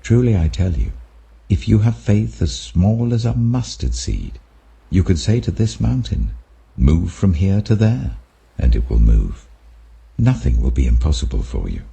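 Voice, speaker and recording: A British man voicing Jesus as an authoritative, earnest teacher, deliberate and emphatic. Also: deep voice, big pitch swings, vocal fry, dark tone, slightly rough, full character voice.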